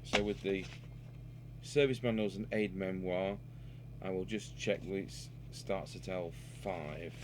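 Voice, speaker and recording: A man with a fairly low voice talks through a hands-on repair job. There is some background noise and hum behind him. He speaks off the cuff, thinking aloud as he works. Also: fairly even intonation, takes it slowly. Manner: unscripted, musing aloud while working. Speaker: radio repair hobbyist and video presenter. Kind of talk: casual hands-on repair demonstration.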